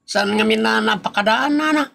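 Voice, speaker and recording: Actress as creaky, bright, evasive hired worker. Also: female voice, on the high side, normal speed, heavily acted. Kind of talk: comedy audio drama acting.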